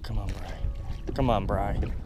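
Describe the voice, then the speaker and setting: A man in his thirties hosting a fishing vlog from a small boat on a windy lake, chatty and enthusiastic, recorded on an action camera. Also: fairly low pitch. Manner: enthusiastic, chatty, quick bursts of excitement. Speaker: fishing channel host. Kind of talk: excited outdoor fishing vlog.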